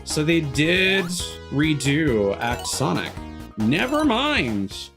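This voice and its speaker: A man's voice: on the high side, sing-song, drawn-out words. Rambling male game streamer over music. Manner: rambling, thinking aloud. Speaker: video game livestreamer. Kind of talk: casual rambling gameplay stream commentary. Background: music behind the voice.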